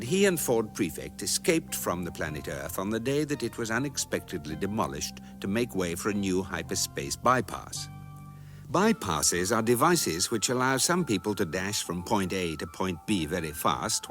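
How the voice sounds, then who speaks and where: A man playing a dry, erudite guidebook narrator with a British accent, reading comic science fiction narration in a measured deadpan. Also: lowish voice, faint music behind. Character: urbane, dry, all-knowing guidebook narrator.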